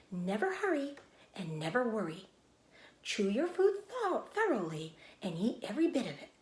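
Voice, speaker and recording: A woman reading a children's storybook, voicing a motherly female spider in a measured, comforting and firm manner. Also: on the high side, roomy sound. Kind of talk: children's storybook read-aloud.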